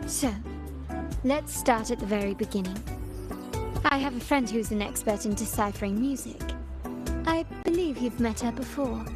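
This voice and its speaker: An actress voicing a composed, knowing young woman in a game cutscene, explaining things in a calm, measured way. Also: on the high side, close to an everyday voice. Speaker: game character. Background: music.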